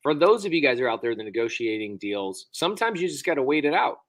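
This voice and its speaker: A man hosting a casual interview over a video call, talking with eager, excitable enthusiasm on a close studio microphone. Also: medium pitch, fast talker, no room sound. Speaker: host.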